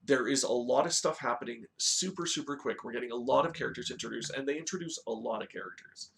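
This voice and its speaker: A man with a mid-pitched, creaky voice hosts a review in a casual, informal style. He talks conversationally, as if chatting to regular viewers, with a noticeable gravelly fry in his delivery. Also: fast, a bit bright. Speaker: host of a video game movie review show. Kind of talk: casual review talk with story recap.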